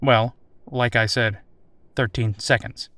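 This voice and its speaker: A man's voice: medium pitch, steady tone, takes it slowly, fairly bright tone, no room sound. A man playing a military captain in an audio drama interview, casual and wry, with a conversational, probing way of talking. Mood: recounting, thoughtful.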